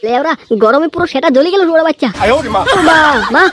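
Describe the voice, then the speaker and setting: A man's voice: very high voice, quick pace, noisy background. A man voicing an aggrieved, foul-mouthed young adult son in a comic cartoon, whining and cursing as he complains. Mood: irritated, grumbling. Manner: crude, whining, curse-laden complaining.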